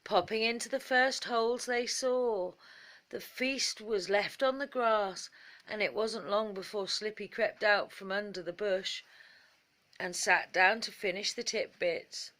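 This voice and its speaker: A woman reads a children's story for bedtime. Her delivery is slow and expressive, at a middling pitch, with each phrase set out in a measured way.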